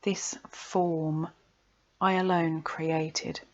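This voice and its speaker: Woman slowly reciting poetry, dull recording. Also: low-pitched, little pitch movement. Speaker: poet reading own poems.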